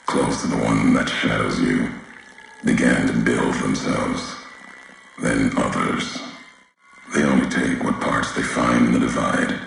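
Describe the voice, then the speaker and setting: Brooding wasteland wanderer for a game: heavily echoing gravel voice, slow, grave, deliberate delivery. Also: male voice, a bit bright, slight background noise, heavily acted. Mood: somber, reflective. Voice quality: very deep, gravelly. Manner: slow, clipped fragments, ominous and deliberate. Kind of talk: video game character dialogue. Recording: heavy echo on the voice.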